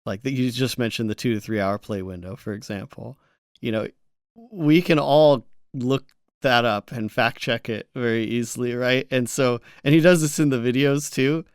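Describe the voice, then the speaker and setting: A man co-hosting a gaming news show in casual, informal, somewhat halting talk peppered with filler words. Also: mid-range voice, clean tone, no room sound, studio quality. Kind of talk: casual podcast chat.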